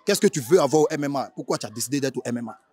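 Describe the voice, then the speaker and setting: Ivorian man interviewing, deeper-toned and chatty. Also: expressive, rapid-fire, darkish tone, breathy edge, no room sound. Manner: chatty, repeats filler tags and rephrases questions. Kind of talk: informal spoken interview, street slang.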